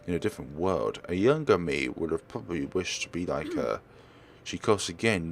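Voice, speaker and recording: A man with a low voice narrates a visual novel for a let's play. His delivery is expressive and swings widely in pitch, with small stumbles as he reads. Some background noise sits behind him. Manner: expressive, stumbles and corrects himself while reading. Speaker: let's player. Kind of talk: reading visual novel text aloud with acted dialogue.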